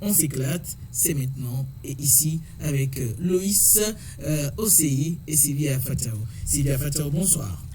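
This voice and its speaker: A man hosting a lively radio show, chatty and quick to laugh, with many fillers. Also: on the high side, distant mic, slight background noise. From Togo.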